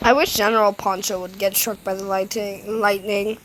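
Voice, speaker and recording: A woman's voice: mid-range voice, flat delivery, normal speed, a bit bright, a little hiss or hum, rough recording. A girl narrating an improvised toy story, chatty and rambling, sick today. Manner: rambling, self-correcting, chatty. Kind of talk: casual improvised play-acting.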